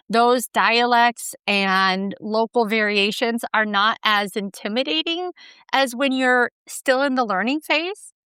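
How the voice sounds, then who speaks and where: Woman, podcast host, reflective, smooth clear voice, dry close recording. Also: a little high, pushed and bright, dry recording. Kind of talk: conversational interview questions.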